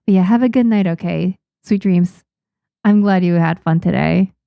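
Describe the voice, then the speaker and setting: Low gravelly-voiced young Japanese woman. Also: normal speed, mellow, no echo, studio quality. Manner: chatty, many restarts and small laughs. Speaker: manga artist streamer. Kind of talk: casual livestream chat reading viewer comments.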